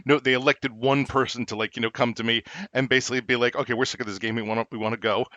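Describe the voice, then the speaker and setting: A middle-aged man co-hosting a podcast in casual chat, rambling with many halting restarts and fillers. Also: medium pitch, little pitch movement, bright, forceful tone, dry recording. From New Jersey. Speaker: podcast co-host. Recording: dull lo-fi dry recording.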